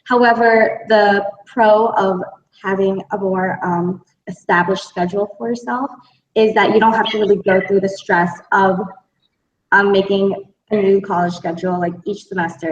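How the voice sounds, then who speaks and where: A woman's voice: medium pitch, slight background noise. A young woman from Connecticut, a student on a panel, talking casually with many fillers. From Connecticut, USA. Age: young adult. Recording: roomy, distant, dull mic sound.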